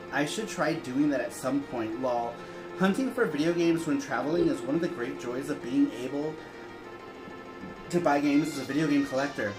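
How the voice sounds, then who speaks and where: A man's voice: a little high, roomy sound, poor audio. A man co-hosting a show, chatting casually, laughing often and reading long viewer letters aloud. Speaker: co-host reading viewer answers.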